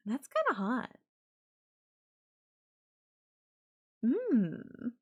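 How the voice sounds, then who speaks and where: A woman playing an obsessive, possessive girlfriend in an intimate roleplay audio drama, sweet but menacing, with breathy cooing. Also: mid-range voice, warm tone, exaggerated voice. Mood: possessive, dreamy. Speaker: voice actor.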